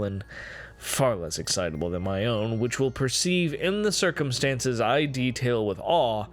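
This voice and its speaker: A man gives a dramatic reading of a gothic horror story, with measured, deliberate phrasing and dramatic pauses. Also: mid-range voice. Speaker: amateur reader of a classic horror story. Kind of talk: dramatic reading of gothic first-person fiction. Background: music behind the voice.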